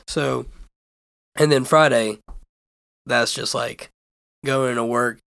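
Hoarse raspy young man hosting. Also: mid-range voice, fairly even intonation, slow pace, a bit bright, no room sound. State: hoarse, lost voice. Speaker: podcast host. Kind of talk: casual chat.

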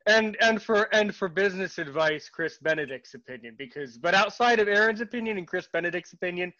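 A man hosting a podcast interview in casual chat, halting and repeating his words, with a sarcastic streak. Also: high voice, lively intonation, bright, forceful tone, dull audio. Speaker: podcast host.

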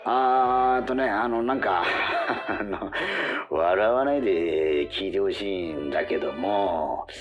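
An actor playing a sheepish, lovestruck middle-aged department manager in a comic radio drama, halting and bashful in his speech. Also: deep voice, dull audio. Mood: embarrassed. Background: background music.

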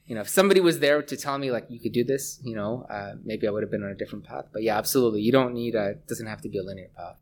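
A middle-aged Canadian man speaking as a scientist guest in a conversational interview, pausing often as he thinks. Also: fairly low pitch, slight background noise. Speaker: interview guest, research scientist and lab head.

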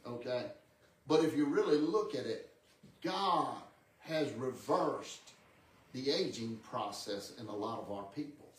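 A man's voice: mid-range voice, expressive, measured pace. Guy in his 60s preaching conversationally, keeps saying amen and okay, roomy sound, mic kinda far off. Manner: repeats words, seeks congregation's agreement with amen and okay. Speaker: pastor preaching. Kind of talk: conversational sermon teaching. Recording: echoey room, distant microphone.

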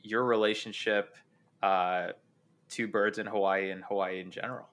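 A man with a low voice hosts a relaxed interview. He asks friendly, curious questions and often pauses on "uh" as he thinks. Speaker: podcast host. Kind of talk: casual interview hosting.

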